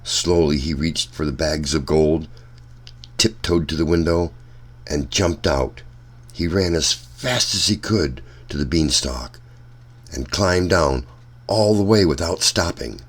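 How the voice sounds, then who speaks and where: A man reading a children's story aloud as narrator, with deliberate, measured phrasing. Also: rumbling low.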